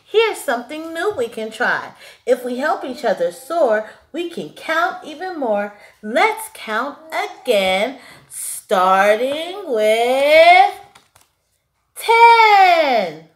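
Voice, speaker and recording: A woman voicing a wistful, eager female number zero from a children's storybook, in an earnest, expressive way. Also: sits high, very slow, pitched above the performer's own voice, exaggerated voice. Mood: rousing.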